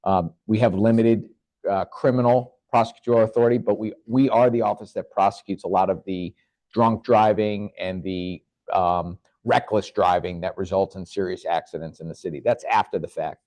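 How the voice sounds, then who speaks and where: A man with a fairly low voice speaks as a government official at a neighborhood meeting. He answers in an earnest, measured way and sometimes restarts his sentences. The sound is close and dry. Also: fairly even intonation, darkish tone, dry recording. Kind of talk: answering questions at a public meeting.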